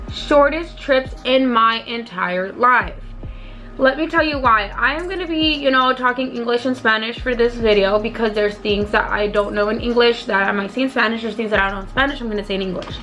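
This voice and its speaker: A hoarse young woman who has partly lost her voice tells a rambling, chatty storytime to her viewers as a beauty vlogger. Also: on the high side, pushed and bright. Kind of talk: casual storytime vlog.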